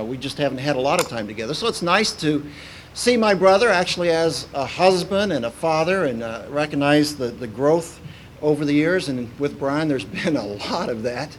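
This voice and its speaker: Man, 40s, from Kentucky, visiting minister, slightly higher voice, hesitant with many fillers, faint background hiss. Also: animated, rough recording. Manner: halting, many "uh" fillers and restarts. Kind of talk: informal sermon opening.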